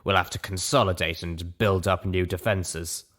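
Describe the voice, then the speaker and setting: A man voicing a tough, shrewd female mercenary leader from a story, gruff and businesslike. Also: low-pitched, fairly even intonation, normal speed, fairly bright tone.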